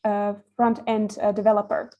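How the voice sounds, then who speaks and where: A woman hosting an online career event and interviewing a guest, speaking in a measured way with many hesitations and fillers. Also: mid-range voice, little pitch movement, dull audio.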